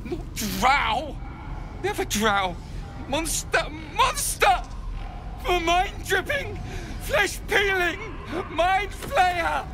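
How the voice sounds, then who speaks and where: Hysterical game seer, very high shrieking voice, wild swoops, broken exclamations, noisy ambience. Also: female voice, big pitch swings, drawn-out words, music in the background, exaggerated voice. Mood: shrieking terror. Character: terrified raving seer, frantic and tormented. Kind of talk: video game character acting.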